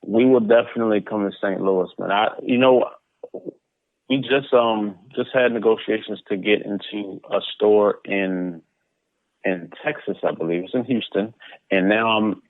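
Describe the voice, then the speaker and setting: A man with a low voice comes through a narrow, phone-like connection with no room echo. He talks as an interview guest in a casual, conversational way. He often doubles back on words and short phrases as he builds his point. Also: fairly even intonation, normal speed. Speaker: interview guest, comic book publisher. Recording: muffled phone-like line.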